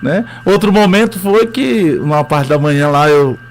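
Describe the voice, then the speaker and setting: A man's voice: on the high side, animated, normal speed, a little hiss or hum. A man with a Northeastern Brazilian accent, a history teacher telling his story as a radio interview guest, hesitant with many fillers.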